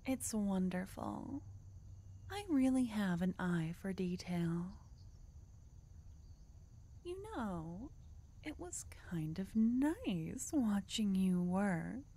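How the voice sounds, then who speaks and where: A woman playing an unhinged, flirtatious yandere arsonist in an ASMR roleplay, teasing and conspiratorial in a breathy half-whisper. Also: medium pitch, dark tone, slight background noise. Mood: pleased, flirtatious.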